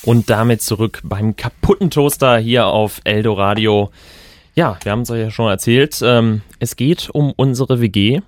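A man's voice: mid-range voice, moderate pace, dry recording. A young man co-hosting a student radio podcast, chatting casually with dry, wry asides. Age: young adult. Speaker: podcast co-host.

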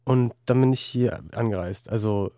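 A man's voice: mid-range voice, moderate pace, mellow, dry recording. A young man, a musician, chatting as a guest in an interview, hesitant and full of fillers and restarts. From Germany. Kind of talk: casual interview chat.

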